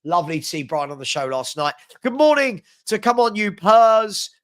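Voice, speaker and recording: Sniffly, chatty fan host. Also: male voice, sits high, very animated, normal speed. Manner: chatty and upbeat, rattling off shout-outs. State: has a head cold, a bit sniffly. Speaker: host of a live fan chat show. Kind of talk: casual live-stream chat reading out viewers' comments.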